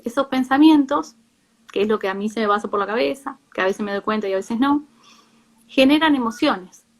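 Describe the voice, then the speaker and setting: Argentine woman explaining in a higher voice. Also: brisk, rough recording. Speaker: psychologist, guest speaker. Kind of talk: informal live talk, explaining with examples.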